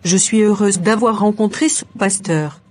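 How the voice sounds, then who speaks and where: A woman's voice: mid-range voice, normal speed, natural voice. A woman voicing a devout, hopeful churchgoer in a French cartoon. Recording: music behind the voice.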